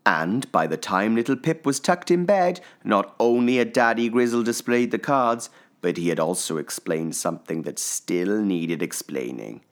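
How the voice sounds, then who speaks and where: A man narrating a children's story expressively, with theatrical phrasing and deliberate pauses. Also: on the low side, lively intonation.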